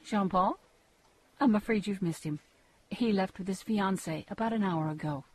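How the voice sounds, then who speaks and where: A woman playing a chatty, slyly helpful household acquaintance in a gothic audio drama, brisk and talkative. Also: mid-range voice, darkish tone, husky, natural voice. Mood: breezy, knowing.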